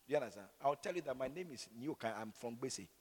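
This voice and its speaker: A man with a Ghanaian accent and a raspy voice, preaching in an animated, conversational way full of rhetorical questions and repeated phrases. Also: mid-range voice, fast talker. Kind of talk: sermon with conversational, opinionated asides. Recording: echoey hall with long reverb.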